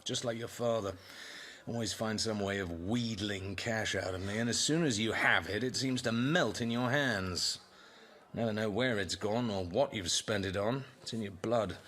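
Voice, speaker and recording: An actor playing a well-off, patronising husband in colonial Calcutta in a radio drama, teasing with fond endearments. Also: male voice, lowish voice, moderate pace, fairly bright tone, lightly acted.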